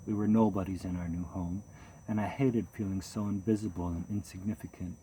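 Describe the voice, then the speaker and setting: A man with a lowish voice reads a first-person memoir in a measured, steady way. His tone is mellow and dark, with a faint hiss of noise under the recording. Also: rough recording. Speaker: audiobook narrator.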